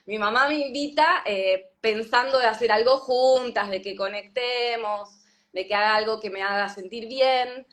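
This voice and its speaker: A woman in her thirties with an Argentine Spanish accent, from Patagonia, telling her story as a guest in an interview, thoughtful and pausing often with fillers. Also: medium pitch, fairly bright tone. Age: 30s. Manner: thoughtful, many fillers and restarts. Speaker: yoga teacher, guest. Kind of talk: reflective interview talk.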